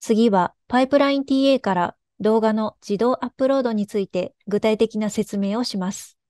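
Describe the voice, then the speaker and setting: A woman with a slightly high voice narrates a prepared presentation. Her delivery is formal, measured and explanatory. The sound is very dry, with almost no room around it. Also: a little mellow, no room sound.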